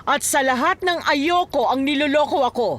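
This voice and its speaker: An actress playing a stern landowner with a Filipino accent, indignant and commanding, in radio drama style. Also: female voice, high-pitched, moderate pace, close to an everyday voice. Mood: angry, commanding. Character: stern landowner woman, quick to anger, easily deceived.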